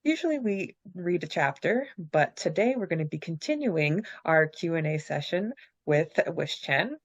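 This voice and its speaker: A woman's voice: low voice, very animated, moderate pace, slightly rough, no room sound. A woman co-hosting a casual fan podcast, chatting and reading aloud with an enthusiastic manner. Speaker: podcast co-host. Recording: slightly dull, dry close recording.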